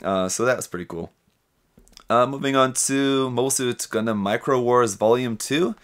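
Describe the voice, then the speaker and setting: A man's voice: mid-range voice, moderate pace, a bit bright. A young man hosting a hobby news show, running through items casually with his own commentary. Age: young adult. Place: small indoor hobby workshop room. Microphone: desk microphone with pop filter, close.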